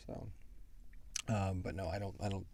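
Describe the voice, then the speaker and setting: A man with a lowish, very mellow voice, recorded close and dry. He talks casually as a podcast co-host, working through his explanations as he goes, often halting to think. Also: steady tone, gravelly, no room sound. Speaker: podcast co-host and show creator answering fan questions. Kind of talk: casual question-and-answer chat about a fiction series.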